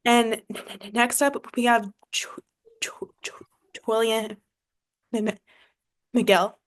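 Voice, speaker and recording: Young woman, research assistant, stutters openly with lots of repeated sounds, pretty flat monotone delivery. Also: fairly high pitch, slow. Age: young adult. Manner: stutters openly with many sound repetitions and blocks. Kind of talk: prepared tribute to a child.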